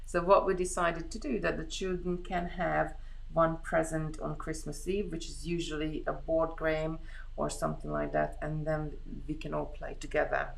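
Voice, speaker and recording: A woman's voice: low-pitched, little pitch movement, moderate pace, vocal fry, rough recording. A middle-aged woman from Hungary chatting casually on a live craft stream, rambling and thinking aloud as she works. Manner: rambling, chatty, thinking aloud while working with her hands.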